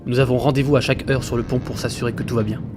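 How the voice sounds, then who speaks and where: A man playing a frightened sailor who narrates his journal gravely, in dramatic audio fiction. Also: medium pitch, flat delivery, rapid-fire, natural voice. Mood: haunted, weary. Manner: grave, haunted storytelling. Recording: loud music under the voice.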